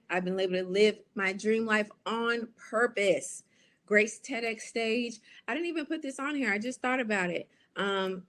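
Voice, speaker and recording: Brief: American woman in her 40s, a coach talking to an audience, lively and conversational, voice a little high. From United States. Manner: lively and chatty, with frequent "okay?" and "y'all" asides. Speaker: business coach. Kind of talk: casual live presentation.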